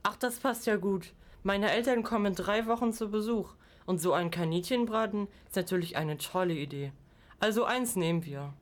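A woman's voice: medium pitch, normal speed, natural voice. A woman playing a practical, matter-of-fact parent in an amateur radio play. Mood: friendly.

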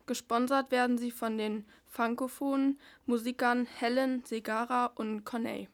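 A woman's voice: on the high side, little pitch movement, normal speed. A teenage girl presenting on a school radio show, reading from a prepared script in a somewhat stiff way. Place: radio studio.

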